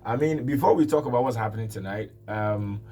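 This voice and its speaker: A man's voice: lowish voice, brisk, dark tone. A man hosting a radio interview in a friendly, loose way, with lots of fillers and hesitations. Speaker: radio host. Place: radio studio.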